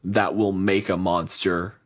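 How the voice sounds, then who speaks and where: A man with a fairly low voice co-hosts a podcast, chatting casually. He rambles and restarts, dropping swear words with animated emphasis. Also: slow. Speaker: podcast co-host. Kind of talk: casual chat.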